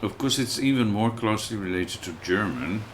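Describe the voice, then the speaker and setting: Low-voiced man narrating his gameplay. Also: moderate pace, slight background noise. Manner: relaxed, thinks aloud and chats with viewers while playing. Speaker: livestreamer playing a video game. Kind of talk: casual let's-play commentary.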